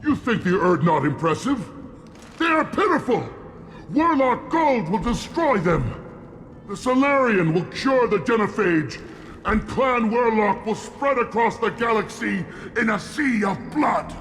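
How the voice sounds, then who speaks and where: A man's voice: high voice, animated, measured pace, a bit bright. A man playing a menacing, vengeful alien clan spokesman in a video game, pompous and declamatory. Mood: vengeful fury. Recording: long hall reverb.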